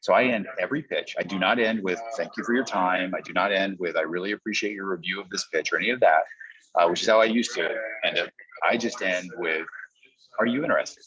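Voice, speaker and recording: Deep-voiced man coaching, dull audio. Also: brisk, crowd noise. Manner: explanatory, acts out example pitches in quotes. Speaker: podcast coach. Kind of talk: conversational training talk.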